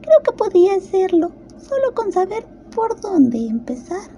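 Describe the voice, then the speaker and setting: Woman acting a curious little girl, very high soft mellow voice, dreamy, wistful, storybook radio play, some background noise. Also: big pitch swings, measured pace, full character voice. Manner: wondering aloud to herself.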